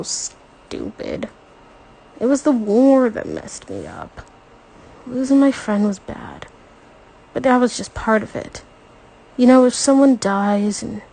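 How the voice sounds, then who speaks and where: A woman voicing a young male army veteran who speaks calmly and soothingly. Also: a little high, creaky, husky, full character voice, low-quality recording.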